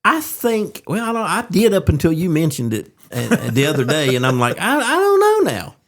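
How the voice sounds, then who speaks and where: A man in casual podcast conversation as a co-host, speaking haltingly and repeating words. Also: a little high, wildly swooping pitch. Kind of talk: casual chat.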